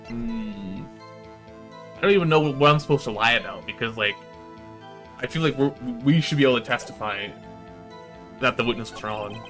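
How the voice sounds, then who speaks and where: A man's voice: medium pitch, moderate pace, long pauses, a bit bright, soft background music. A man doing casual game stream commentary, swearing freely and ranting in exasperation as he plays. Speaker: streamer playing and reading a visual novel aloud.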